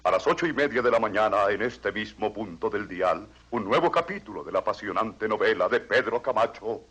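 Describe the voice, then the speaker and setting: A man's voice: on the low side, expressive, fairly quick, sharp tone, a little hiss or hum. A man narrating a radio serial in a melodramatic style.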